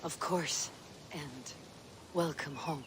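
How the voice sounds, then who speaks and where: A plain-spoken warrior leader in a video game. Her voice sits a little low and stays direct and grounded. Game noise runs under the lines. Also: takes it slowly. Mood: steady. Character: steady female warrior leader, adult. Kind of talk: video game cutscene dialogue.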